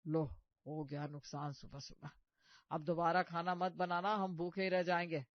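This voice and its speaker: A man acts out a penny-pinching, bossy husband from a comic cartoon story. His voice is notably high for a man and full of grumbling. It is recorded very dry, with a slightly muffled sound. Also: level tone, normal speed, no echo, close to an everyday voice. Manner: complaining, bossy. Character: stingy, grumbling husband and householder.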